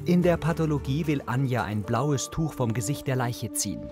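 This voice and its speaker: A man's voice: mid-range voice, lively intonation, normal speed. Male narrator over prominent music. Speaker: audio description narrator. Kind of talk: scripted present-tense scene narration.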